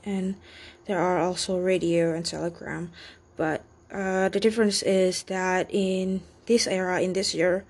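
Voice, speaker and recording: Young indonesian woman doing spoken exam practice, stops and restarts a lot, mid pitch. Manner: halting, with many fillers and restarts. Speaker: student taking a speaking exam. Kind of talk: reading questions aloud and answering them.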